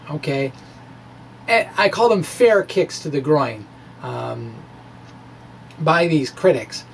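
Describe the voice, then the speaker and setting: A middle-aged man talks through science in a small room, with noticeable noise behind him. His delivery is informal and halting, full of musing hums, with a theatrical, animated turn to his phrasing. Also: on the high side. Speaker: science video blogger. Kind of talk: informal dramatic reading of a physics blog to camera. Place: small indoor room with bookshelves. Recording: clear background room noise.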